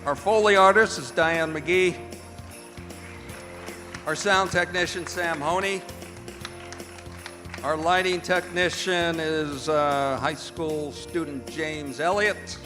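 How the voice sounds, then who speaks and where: A man's voice: a little high, fairly even intonation, takes it slowly, long pauses. A man with an American accent announcing in the style of an old-time radio show, reading out credits and sponsor messages. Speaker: announcer and director of a radio theater. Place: performing arts center stage. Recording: echoey hall, long reverb, music bleeding in. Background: music.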